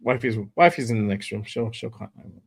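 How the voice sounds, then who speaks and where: A man with a cold, sounding hoarse, hosting a casual live stream from home, loose and slangy with lots of shout-outs to viewers. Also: mid-range voice, quick pace. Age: adult with kids. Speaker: host.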